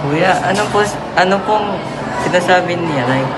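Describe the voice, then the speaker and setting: A man's voice: high-pitched, moderate pace, natural voice. A man with a Filipino accent playing an obedient, earnest younger brother in an amateur radio drama. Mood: earnest, polite. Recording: loud music and crowd noise behind.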